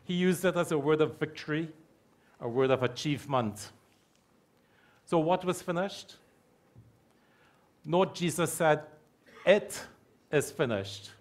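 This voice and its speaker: A middle-aged man preaching a sermon from a church pulpit mic, repeating key phrases slowly for emphasis. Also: on the high side. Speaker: pastor. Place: church hall with stage curtains.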